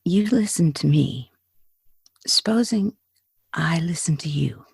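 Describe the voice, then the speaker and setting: A woman playing a shaken, demanding yet fragile Hollywood actress in 1940s radio drama style, husky and hushed, with slow dramatic pauses. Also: low-pitched, lively intonation, no echo, full character voice. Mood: demanding, urgent. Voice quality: husky, breathy.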